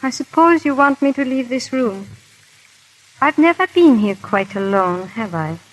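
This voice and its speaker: A woman's voice: sits high, expressive, unhurried. A woman playing a proud, guilt-ridden aristocratic wife in a theatrical, urgent 1930s radio drama style. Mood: curious. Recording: crackly, hissy 1930s radio recording.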